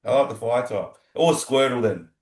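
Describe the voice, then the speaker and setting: A man's voice: mid-range voice, normal speed, a little mellow, reverberant. An Australian man in his thirties hosting a casual podcast chat, rambling and often stumbling over repeated words. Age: 30s. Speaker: podcast host.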